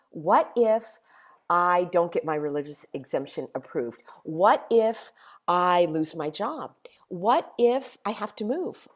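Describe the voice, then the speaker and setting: A middle-aged woman hosting a motivational monologue in a hoarse voice, stringing together emphatic rhetorical questions. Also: fairly low pitch, darkish tone. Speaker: host.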